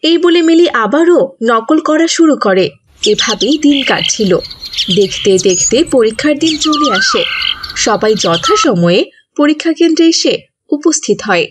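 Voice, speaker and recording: A woman's voice: high-pitched, huge pitch swings, a little slow, background noise. A woman reading scripted narration for a children's cartoon story.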